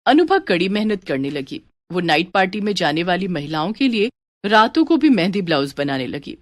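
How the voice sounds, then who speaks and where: A woman's voice: lowish voice, very animated, a little fast, no room sound. A woman storyteller reading a scripted story as narration.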